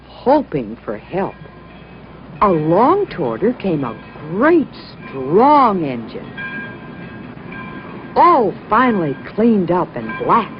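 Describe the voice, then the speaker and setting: A woman narrating a children's story from a script in a dramatic, expressive and emphatic way. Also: fairly low pitch. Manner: dramatic, emphatic, acts out sound words. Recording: dull lo-fi recording, music behind.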